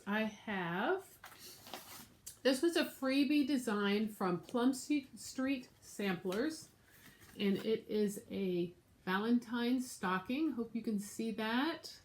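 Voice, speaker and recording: A woman's voice: a little high, slight background noise. An American woman with a nasal, stuffed-up voice from being sick, chatting casually to camera as she shows her craft projects. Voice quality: nasal, congested. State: sick with congestion. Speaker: craft vlogger and show host. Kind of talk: casual show-and-tell chat to camera. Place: room at home.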